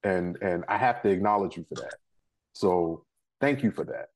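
A man's voice: low voice, moderate pace, a little hiss or hum. An American man in his thirties hosting a talk show, moving between introductions and casual interview chat. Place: living room couch at home, indoors.

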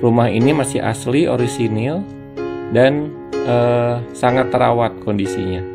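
A man's voice: medium pitch, a little slow, creaky. A man narrating a walk-through house tour, explaining each step as he presents the property. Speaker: real estate agent presenting a house tour. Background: plucked guitar music.

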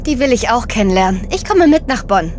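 Level-headed journalist sidekick for crime audio drama; assured, direct, slightly high register. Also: female voice, sing-song, brisk, a little mellow, slight background noise. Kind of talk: radio play dialogue.

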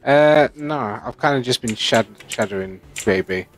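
A man's voice: medium pitch, unhurried, slight background noise. A man chatting casually with a fellow player during an online roleplay game. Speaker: roleplay gamer playing a police trainee.

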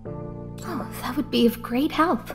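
High-voiced, earnest young lead researcher. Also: female voice, slow pace. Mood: grateful. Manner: polite, articulate, formal. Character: earnest young woman lead researcher, composed but worried. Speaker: game character, space station lead researcher. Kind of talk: anime game voice acting. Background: game background music.

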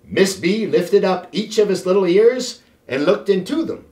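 A middle-aged man reads aloud to children in an expressive, theatrical way with deliberate phrasing. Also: high voice. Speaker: children's storybook reader. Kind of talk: animated read-aloud for children. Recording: roomy, echoing sound.

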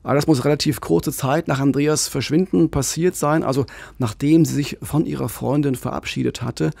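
A middle-aged man with a gravelly, husky voice tells a crime case in an earnest, engaged way. He speaks into a large studio microphone in a radio booth. The sound is close and dry. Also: on the high side, fairly even intonation, moderate pace, a little mellow, dry recording. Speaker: co-host, crime reporter. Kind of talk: conversational true-crime podcast storytelling. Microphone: large studio mic on a boom, headphones.

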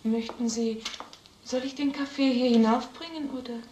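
A wary, hesitant woman with a fairly high voice, an actress as a single mother in a crime drama. She sounds guarded in her words. The old soundtrack is noisy and echoing. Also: steady tone, normal speed, warm tone, close to an everyday voice. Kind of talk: mid-century German crime film dialogue.

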